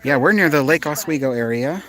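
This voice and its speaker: A man's voice: fairly high pitch, expressive, a little fast, fairly bright tone. A man from Southern California with a Southern Californian accent hosts a casual live stream outdoors in a park. He reads viewer comments aloud and answers them in an easygoing, chatty way. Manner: easygoing, chatty, with frequent fillers and restarts.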